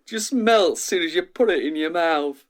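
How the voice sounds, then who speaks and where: A man's voice: a little high, normal speed, natural voice. An actor playing an eager, down-to-earth man in a radio drama, chatty and relishing each phrase. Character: eager, down-to-earth man savouring every taste. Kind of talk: audio drama acting.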